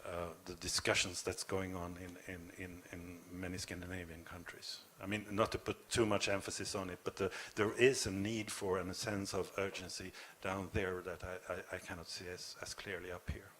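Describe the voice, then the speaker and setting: A man from Norway answering at a panel discussion, speaking haltingly with many repeated words. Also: low-pitched, flat delivery, fairly bright tone, slight background noise.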